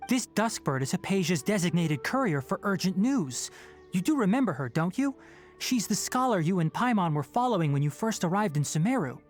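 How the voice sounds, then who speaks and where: A young man playing a composed, scholarly forest ranger in a video game, articulate, measured and matter-of-fact. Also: high voice, sing-song, soft background music. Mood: concerned.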